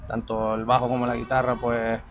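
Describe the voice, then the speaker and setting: A man from the Canary Islands, singer and bassist of a band, answering loosely while searching for words. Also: monotone, brisk, soft background music. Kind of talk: casual interview answers.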